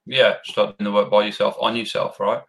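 A man hosting a podcast interview, encouraging his guest and pausing often with fillers. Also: fairly low pitch, level tone, a bit bright. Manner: encouraging, hesitant, many fillers. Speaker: podcast host.

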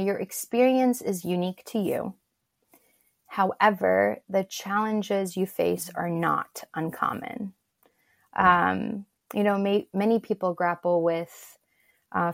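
A woman's voice: mid-range voice, creaky, no echo, clean studio sound. A woman from Turkey, a guest on an interview show, speaking in a reflective and encouraging way. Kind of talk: casual interview talk.

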